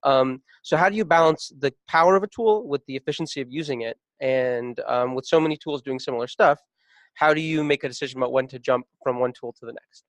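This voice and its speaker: A man's voice: medium pitch, fast talker, no echo. A man hosting a casual webinar panel, reading out questions and passing them to speakers. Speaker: panel host.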